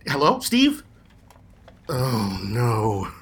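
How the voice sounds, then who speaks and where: A man's voice: medium pitch, huge pitch swings, extremely slow, slightly rough, heavily acted. A man playing a timid, stammering merch designer. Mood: hesitant. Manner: hesitant, stammering, eager.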